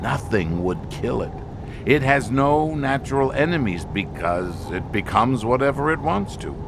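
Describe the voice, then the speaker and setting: An actor playing a grave expedition scientist in an audio drama, speaking deliberately with weighted, halting pauses. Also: male voice, medium pitch, sing-song, gravelly, noisy background. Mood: grim, foreboding. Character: grave male expedition scientist explaining an alien menace.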